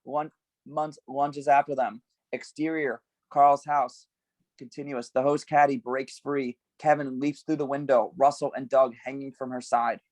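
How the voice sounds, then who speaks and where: A man's voice: mid-range voice, flat delivery, measured pace, a little mellow, no echo, rough recording. A man reading stage directions at a script table read, giving scene headings some emphasis.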